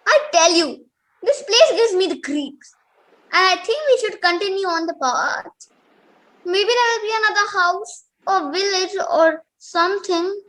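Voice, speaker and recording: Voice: very high voice, expressive, measured pace, pushed and bright, full character voice, low-quality recording. A performer playing a nervous, imaginative young boy in an amateur radio play, protesting hesitantly. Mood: uneasy, awed.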